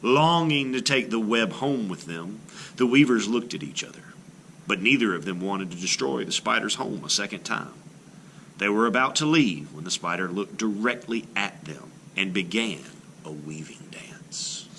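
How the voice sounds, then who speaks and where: A man reading a children's story aloud in an expressive, theatrical style, like a teacher to a class. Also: fairly low pitch, moderate pace, vocal fry, a little hiss or hum. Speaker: art teacher reading a picture book aloud.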